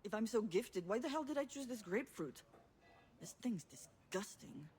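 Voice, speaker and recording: A woman's voice: fairly high pitch, expressive, normal speed, darkish tone, slightly rough. A woman playing a competitive, prickly sister, blunt and challenging, in dramatic dialogue for a narrative game. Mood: irritated.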